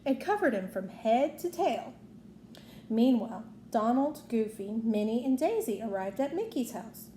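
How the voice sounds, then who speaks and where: A woman's voice: on the high side, big pitch swings, a little slow, warm tone. A woman reading a children's story aloud as narrator. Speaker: storybook reader. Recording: roomy echo, faint background noise.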